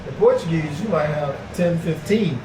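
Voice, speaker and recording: A middle-aged man from the United States, chatting casually as an interview guest, animated and full of fillers. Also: on the high side, moderate pace. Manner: animated storyteller, repeats fillers like "like". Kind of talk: casual chat. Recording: echoey room with background noise.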